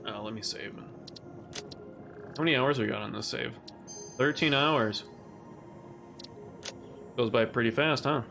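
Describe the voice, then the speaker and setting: A man streaming a game from home, chatting casually with viewers as his voice grows raspy and rough. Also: mid-range voice, unhurried, pauses often. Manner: laid-back, swears casually, talks to chat. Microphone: condenser studio mic. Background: game music.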